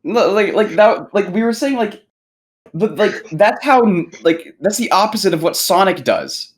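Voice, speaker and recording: A young adult man guesting on a casual podcast, talking in halting, repetitive, profane bursts. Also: sits high, big pitch swings, slight background noise.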